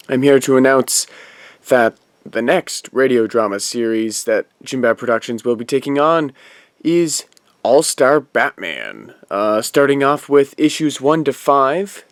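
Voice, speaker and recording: A man giving an informal announcement as an audio drama producer, in halting, deliberate phrases. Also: mid-range voice, no room sound. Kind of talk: informal post-credit announcement.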